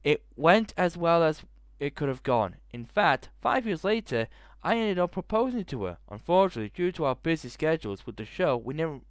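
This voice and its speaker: A man's voice: on the high side, very animated, fairly quick, few pauses, clean tone, dry recording. A young man hosting a gaming video in his bedroom, reading a visual novel aloud into a headset mic and breaking in with crude jokes. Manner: jokey, swearing, breaks into laughter and asides. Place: bedroom, indoors.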